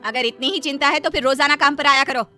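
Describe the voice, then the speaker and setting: Cartoon rich lady boss, haughty, sharp-tongued, high voice, a woman plays her. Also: fairly even intonation, quick pace, faint music behind. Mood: scolding. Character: wealthy middle-aged mistress, haughty, scolding.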